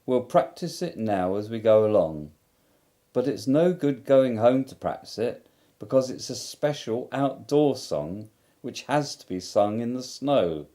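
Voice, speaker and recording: A man voicing a cheerful, muddled and simple young bear in a storybook reading, slow and sing-song with humming. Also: mid-range voice, expressive, warm tone, lightly acted.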